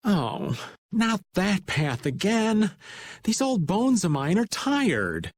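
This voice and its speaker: Middle-aged male game sidekick, playful complainer, worn out, very animated intonation. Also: sits high, slow, no room sound, full character voice. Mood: weary, grumbling. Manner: complaining, theatrical. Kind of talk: video game voice acting.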